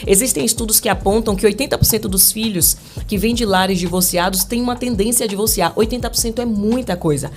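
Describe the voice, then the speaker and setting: A woman's voice: mid-range voice, fast, hardly any pauses, a little mellow, a little hiss or hum. A woman in her thirties from Brazil, a guest on a podcast, talking earnestly about marriage and faith, with restarts and fillers. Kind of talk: podcast interview.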